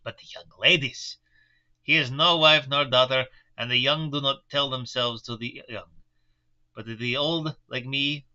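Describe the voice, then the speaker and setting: A man voicing a kindly, grave elderly professor in a put-on German-style accent, speaking in halting, broken formal phrases. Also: mid-range voice, pushed and bright, lo-fi sound.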